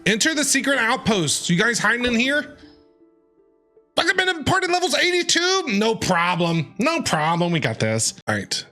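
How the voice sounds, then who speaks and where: Animated, bright-voiced male game streamer. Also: very high-pitched, normal speed. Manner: playful, excitable, reactive. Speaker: gaming streamer. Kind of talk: casual live reaction commentary over gameplay. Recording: game music behind the voice.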